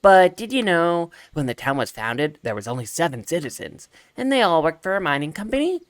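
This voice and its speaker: Man putting on an eager high-pitched history nerd. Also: very animated, brisk, no room sound. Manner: earnest, fussy, rattles off facts. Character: eager nerdy young male town history buff, cartoon animal.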